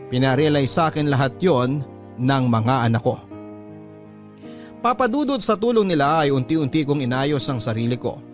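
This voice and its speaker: A man narrating a dramatic first-person story as a radio host. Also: medium pitch. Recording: music under the voice.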